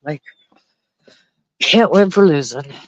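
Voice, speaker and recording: A woman's voice: deep voice, animated, takes it slowly, no echo, highs cut off. A woman on a phone live stream, ranting and chatting with viewers in blunt, heavily profane casual talk, often laughing. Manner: blunt, swears constantly, laughs often, talks to chat and dog. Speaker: livestreamer. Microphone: phone held close.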